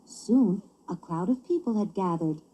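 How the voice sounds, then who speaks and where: A woman reading a children's story as scripted narration, with an expressive, theatrical delivery. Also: mid-range voice, a little slow, breathy edge, rough recording.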